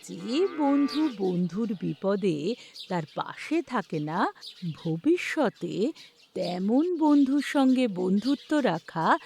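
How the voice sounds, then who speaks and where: A woman's voice: medium pitch, sing-song, slow pace, vocal fry, a little mellow, a little hiss or hum. A woman narrating a scripted children's story as host, speaking directly to young listeners.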